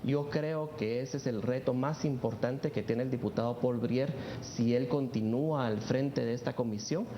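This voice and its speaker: A man's voice: mid-range voice, normal speed, hardly any pauses. A man explaining policy as a guest commentator in an interview. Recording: dull, roomy, clearly noisy sound.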